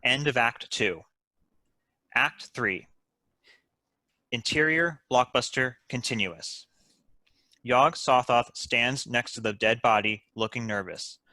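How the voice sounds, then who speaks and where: Bright, crisp-edged man narrating a script. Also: medium pitch, unhurried, no echo. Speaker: narrator reading stage directions. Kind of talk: scripted narration at a table read.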